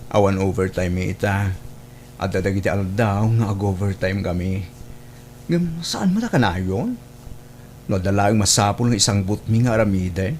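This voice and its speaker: A man plays a plain-spoken workmate in an Ilocano audio drama. The voice is fairly low, with an Ilocano accent, recorded over a faint rumble. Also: moderate pace, a little mellow, natural voice. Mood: friendly. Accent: Filipino, Ilocano.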